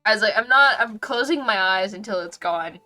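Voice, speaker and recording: A woman's voice: medium pitch, animated, fairly quick, sharp tone, rough recording. A woman game streamer giving casual, sweary and effusive livestream commentary on a visual novel. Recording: music behind the voice.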